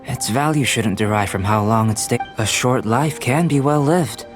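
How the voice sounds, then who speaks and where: A man playing a calm, scholarly young alchemist in an anime video game, speaking in a measured, formal, gently philosophical manner. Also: mid-range voice, close to an everyday voice. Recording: music behind the voice.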